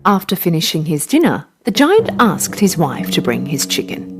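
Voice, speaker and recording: Female storyteller for children's tales: very animated, swooping intonation, mid-pitched, music bed underneath. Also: normal speed. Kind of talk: scripted children's story narration. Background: background music.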